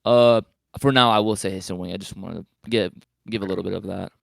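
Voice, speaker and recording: A man's voice: deep voice, steady tone, fast, gravelly, fairly bright tone, dry recording, studio quality. A man chatting casually as a panelist, tentative and rambling, often correcting himself mid-thought. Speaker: podcast panelist. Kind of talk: casual chat.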